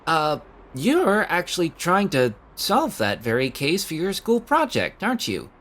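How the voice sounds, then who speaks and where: A man's voice: a little high, wildly swooping pitch, a little slow, a bit bright, full character voice. A man acting in an audio drama as an awkward, overeager young man who stammers and rambles nervously. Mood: eager, awkward. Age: young adult.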